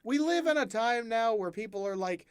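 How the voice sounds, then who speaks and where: A young man from the American South who speaks with no Southern twang at all. His talk is relaxed and rambling, full of fillers, restarts and easy laughter. The sound is close and dry, with no room echo. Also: extremely high pitch, moderate pace, clean tone. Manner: relaxed, chatty, laughs often, many fillers. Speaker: podcast host. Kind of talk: casual chat.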